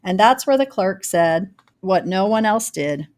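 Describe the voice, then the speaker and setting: A woman reads a children's picture book in rhyme. Her pitch sits in the middle for a woman. She tells it expressively and deliberately, leaning on key words for dramatic effect. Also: expressive, darkish tone. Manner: dramatic, deliberate storytelling with varied emphasis. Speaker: storybook reader.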